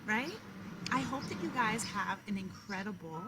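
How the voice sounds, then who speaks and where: A woman's voice: mid-range voice, expressive, moderate pace. A woman kindergarten teacher reading a story aloud outdoors and talking encouragingly to children, often asking them questions. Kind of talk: children's read-aloud with asides to young listeners. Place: outdoors in a backyard. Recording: clear outdoor background noise. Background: outdoor noise.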